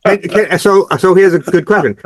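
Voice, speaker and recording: A man's voice: sits high, normal speed, no echo. A man with a Staten Island accent, hosting a podcast and chatting in a friendly, joking way. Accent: Staten Island, New York. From Staten Island, New York. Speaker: podcast host, teacher. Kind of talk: casual conversation.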